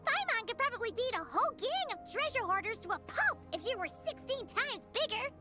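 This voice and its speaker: A woman's voice: very high voice, sing-song, fairly quick. A woman voicing a small, chirpy, childlike floating companion in a video game, exclaiming and talking about herself in the third person. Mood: chirpy, inquisitive. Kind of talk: video game character dialogue. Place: studio. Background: game music.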